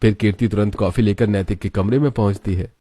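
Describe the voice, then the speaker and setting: A man's voice: on the low side, fairly even intonation, fast, mellow. A man narrating a scripted cartoon story. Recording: music behind the voice. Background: background music.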